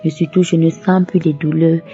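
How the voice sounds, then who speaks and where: Low-voiced woman giving muffled religious testimony. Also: flat delivery, moderate pace, warm tone. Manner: devout, repeatedly giving thanks. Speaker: testimony giver. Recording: muffled lo-fi audio over music. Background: background music.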